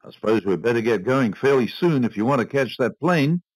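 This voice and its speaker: A man voicing a small, dapper old man who speaks in a dry, unhurried way. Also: mid-range voice, expressive, a little mellow, pitched above the performer's own voice, lightly acted, poor audio.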